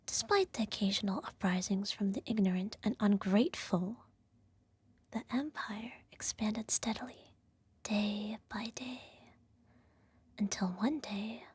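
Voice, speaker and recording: A woman playing a regal, self-righteous evil empress who soothes her child with a whispered ASMR bedtime story in a measured, gently condescending way. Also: mid-range voice, no echo. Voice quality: soft, breathy whisper. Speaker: ASMR roleplay performer.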